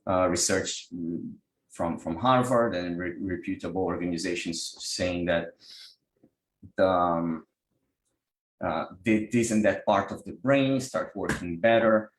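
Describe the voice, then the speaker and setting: A man's voice: low-pitched, roomy sound, poor audio. A man from Brazil with a Brazilian Portuguese accent, giving an online workshop talk over a video call, hesitant with many restarts. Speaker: workshop presenter, pranic healer.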